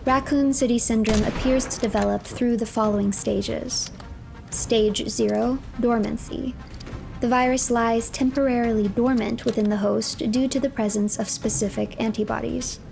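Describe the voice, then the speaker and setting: A woman narrating a game story as a streamer, reading files aloud in a measured, formal way. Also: a little high, steady tone, gravelly, over music.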